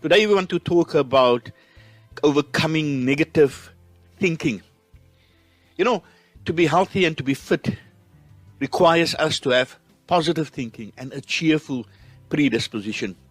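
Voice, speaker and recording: A man's voice: a little high, expressive, normal speed, long pauses, dry recording, soft background music. A man with a South African accent hosting a motivational podcast, speaking in an earnest, encouraging way. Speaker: podcast host.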